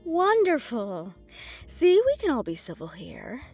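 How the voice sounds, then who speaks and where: A woman's voice: on the high side, wildly swooping pitch, unhurried, darkish tone, breathy edge, soft background music, exaggerated voice. A woman voicing a composed, condescending female mafia underboss in an audio roleplay, mock-polite and coolly threatening. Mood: satisfied, mock-polite.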